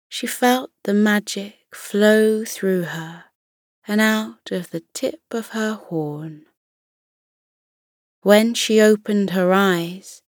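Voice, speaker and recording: A woman narrating a children's bedtime story in a slow, soothing style. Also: medium pitch, darkish tone, no room sound, clean studio sound.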